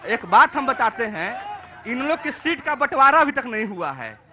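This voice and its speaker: Man, emphatic campaign speech, high pitch, muffled outdoor recording. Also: sing-song, normal speed, slight background noise. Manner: campaign-style, emphatic, slogan-shouting. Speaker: party supporter. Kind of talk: street political speech. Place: outdoor street. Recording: dull outdoor audio.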